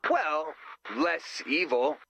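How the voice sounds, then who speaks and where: A high-pitched, anxious young camper in a video game. He babbles bluntly in a very sharp, forceful tone with a creaky, fried edge. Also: very animated, very slow, no echo, full character voice. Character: nervous, tactless young male summer camper. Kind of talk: animated video game voice acting.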